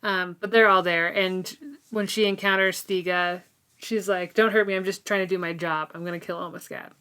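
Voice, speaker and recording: A woman's voice: on the low side, steady tone, normal speed, bright, forceful tone, clear, resonant tone. A woman co-hosting a podcast, chatting informally and conversationally about a book, often dropping in "like". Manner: informal, conversational, frequent use of "like". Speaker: podcast co-host. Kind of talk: casual book discussion chat.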